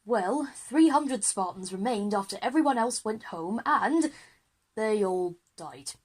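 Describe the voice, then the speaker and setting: Woman playing an eager, husky history teacher. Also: mid-range voice, animated, unhurried, darkish tone. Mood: lecturing. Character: eager, unflappable teacher leading a time-travel history lesson. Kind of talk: children's audio drama acting.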